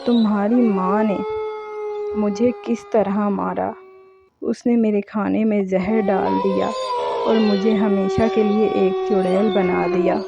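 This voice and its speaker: A woman's voice: mid-range voice, level tone, slow pace, creaky, lo-fi sound, very noisy. A woman voicing a vengeful female witch ghost, menacing and accusing, in a cartoon horror story. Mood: angry, accusing. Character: vengeful female witch ghost of murdered dancing daughter-in-law.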